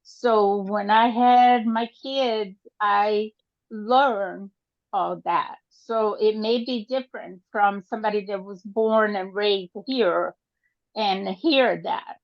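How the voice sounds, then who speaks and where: An older woman from Brazil, whose first language is Portuguese, sharing in an online group over a video call, halting and hesitant with many fillers. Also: mid-range voice, dry recording. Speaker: group participant. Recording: dull lo-fi call audio.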